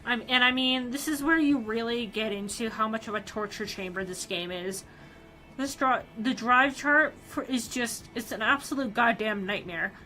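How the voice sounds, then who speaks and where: A young woman streamer reading a story aloud on her live stream, animated and excitable, often restarting her sentences. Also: fairly high pitch, normal speed, faint music behind, low-quality recording.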